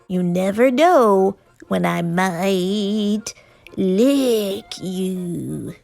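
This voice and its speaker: A woman's voice: medium pitch, animated, very slow, creaky, darkish tone, no echo, heavily acted. A woman voicing a big, scruffy boy monster in a children's storybook, drawling and whiny as he tries to sound terrifying. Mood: mock menacing.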